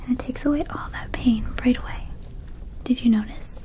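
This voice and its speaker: A woman's voice: a little high, steady tone, mellow, natural voice, rough recording. Woman acting a whispering, ditzy little vampire shopkeeper. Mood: soothing, enthusiastic. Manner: slow, hesitant, casual, confiding. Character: small, ditzy, friendly female vampire dispensary shopkeeper. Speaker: ASMR performer. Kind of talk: ASMR roleplay, whispered.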